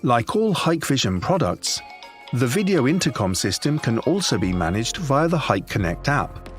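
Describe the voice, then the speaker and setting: Man narrating corporate promo, lively intonation. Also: medium pitch, moderate pace, over music. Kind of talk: scripted corporate product narration.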